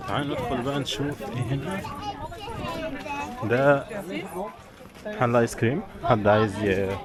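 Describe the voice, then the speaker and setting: A man with an Egyptian Arabic accent chatting informally and directly to viewers as a travel vlogger on the move outdoors. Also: on the low side. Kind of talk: casual walking vlog chat to viewers. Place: outdoors in a busy tourist village. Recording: crowd chatter, outdoor street sound. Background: crowd and outdoor sounds.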